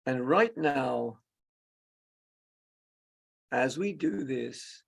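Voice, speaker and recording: Male meditation teacher, very creaky and husky voice, leisurely delivery in short phrases with generous pauses. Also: mid-range voice, animated. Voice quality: gravelly, husky. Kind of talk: guided meditation, spoken slowly and softly.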